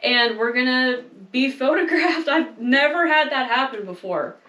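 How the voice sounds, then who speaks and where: A young woman co-hosting a casual chat, self-mocking and quick to laugh. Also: sits high, bright, forceful tone, echoey room, highs cut off. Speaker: co-host.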